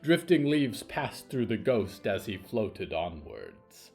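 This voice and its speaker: Male narrator, eerie fiction: measured, ominous, dramatic delivery with very animated intonation. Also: lowish voice, gravelly, soft background music. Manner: theatrical, deliberate, ominous. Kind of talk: scripted horror fiction narration.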